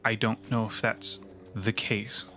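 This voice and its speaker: Guy acting a low voiced earnest investigator in a tabletop rpg, halting, consoling, crisp bright tone. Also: little pitch movement, soft background music. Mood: confessing, apologetic. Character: remorseful, consoling male investigator in a cyberpunk game. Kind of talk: improvised tabletop roleplay.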